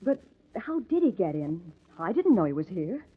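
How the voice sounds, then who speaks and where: A curious, coaxing lady friend in old-time radio crime style. Her voice is slightly rough and husky. The recording is narrow and tinny, like an aged broadcast. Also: mid-range voice, big pitch swings, moderate pace. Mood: teasing, curious. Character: artist's familiar, inquisitive woman friend. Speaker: radio drama actress. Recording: thin, band-limited vintage radio recording.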